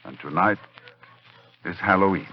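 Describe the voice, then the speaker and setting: An actor playing an urgent, dread-filled scholarly doctor in a dramatic 1940s radio horror play. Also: male voice, low voice, normal speed. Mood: grim dread. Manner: dramatic, intense delivery. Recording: muffled 1940s radio recording, music behind.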